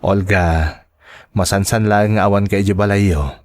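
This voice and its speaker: A man's voice: fairly low pitch, steady tone, moderate pace, darkish tone, no echo, studio quality, close to an everyday voice. A man playing an earnest, polite young suitor in a radio drama, explaining himself with an Ilocano accent. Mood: defensive, reproving. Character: earnest, polite young suitor who works in fast food. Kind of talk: Filipino radio drama acting.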